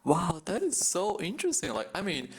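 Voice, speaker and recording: A man's voice: sits high, wildly swooping pitch, moderate pace, slightly rough. A man from Brazil hosting a casual English-practice conversation, an eager listener who keeps affirming and asks simple questions.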